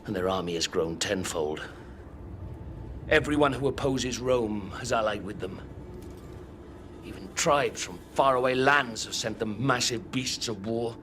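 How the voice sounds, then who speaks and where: An actor playing a grave, resolute older Roman general in a video game cutscene, solemn and commanding. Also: male voice, on the low side, measured pace, fairly bright tone, a little hiss or hum. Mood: grave, urgent. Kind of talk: cinematic video game acting.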